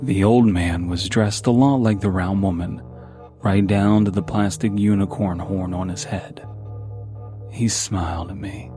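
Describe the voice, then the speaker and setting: A man's voice: sits low, darkish tone. A man reading a scripted first-person horror story as its narrator. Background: background music.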